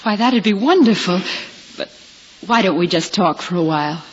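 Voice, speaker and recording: An actress playing an anxious young blinded patient with an American accent, hesitant and earnest, in 1950s radio drama style. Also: female voice, on the low side, expressive, normal speed, a little hiss or hum, natural voice. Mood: tentative, friendly. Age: young adult. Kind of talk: 1950s radio drama acting and first-person narration. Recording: muffled old radio recording with music.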